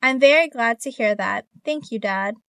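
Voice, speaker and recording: A woman reading the part of an eager, grateful teenage girl in a scripted listening-test dialogue, with clear, careful delivery. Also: a little high, normal speed, a bit bright, no room sound, studio quality. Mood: earnest.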